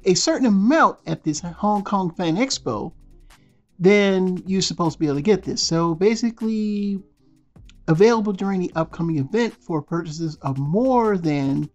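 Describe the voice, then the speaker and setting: A man's voice: high voice, highs cut off. A man hosting a toy news channel, speaking as a blunt, slangy, rambling voice-over over still images. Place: voice-over, not in pictured place.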